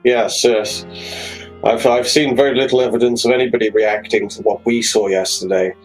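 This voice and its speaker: A man playing a proper, thoughtful, educated gentleman in a tabletop roleplay, formal and wordy in manner. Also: medium pitch, steady tone, moderate pace, soft background music, close to an everyday voice, rough recording. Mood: troubled, pensive.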